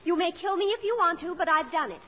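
High-pitched, defiant teenage daughter. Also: female voice, fast, pushed and bright. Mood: defiant, upset. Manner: earnest, melodramatic, defiant. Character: rebellious, self-pitying teenage eldest daughter, narrating her memories. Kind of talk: 1950s radio acting. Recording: old radio broadcast with music.

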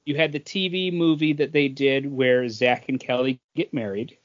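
A man with an average-pitched voice runs a casual trivia quiz as a podcast co-host. He speaks haltingly and often doubles back on his words, and the audio is dull and lo-fi. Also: steady tone. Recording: dull, band-limited lo-fi audio.

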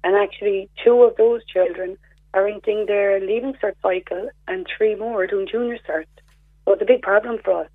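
A hoarse woman calling in to a radio show, methodically explaining a problem on behalf of a group of parents. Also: medium pitch, fairly even intonation, fairly quick, dry recording. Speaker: caller, parents' representative. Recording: phone line.

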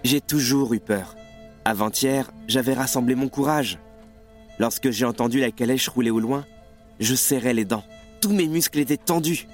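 A man's voice: medium pitch, moderate pace, natural voice. Man playing an anguished, fearful young revolutionary. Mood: ashamed, afraid. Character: anguished, fearful young male revolutionary ashamed of his fear. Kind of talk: French audio drama acting. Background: music.

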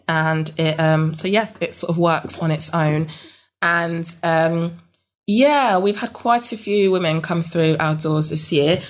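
Young london woman, deep voice, chatty interview guest, lots of ums. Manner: hesitant, many ums and restarts. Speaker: interview guest, business network founder. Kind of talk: relaxed conversational interview.

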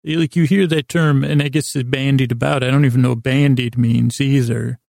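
Very creaky, meandering male podcast host. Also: medium pitch, steady tone, a little mellow, no room sound, clean studio sound. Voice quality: gravelly, creaky. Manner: meandering, halting, with long pauses and self-corrections; self-deprecating, silly. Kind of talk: rambling bedtime-story podcast monologue.

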